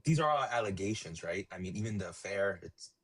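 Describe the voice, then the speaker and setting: Hesitant low-voiced male caller. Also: animated, a little fast, breathy edge, no echo. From El Salvador. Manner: earnest, hesitant, filler-heavy. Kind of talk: casual call-in debate.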